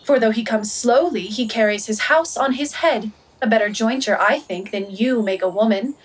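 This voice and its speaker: A young woman playing a bold, witty young heroine in a Shakespearean radio play, brisk and mocking. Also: fairly high pitch, husky, low-quality recording. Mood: playful teasing. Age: young adult. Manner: brisk, mocking, declamatory verse and prose.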